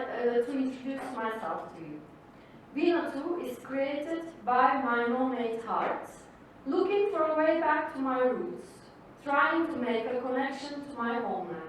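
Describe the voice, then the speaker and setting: A woman whose first language is Turkish, hosting a wine evening and giving a formal, measured welcome speech. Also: high voice, a little hiss or hum. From Turkey. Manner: slow, measured, formal delivery. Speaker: event host and wine professional. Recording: distant mic in an echoey hall.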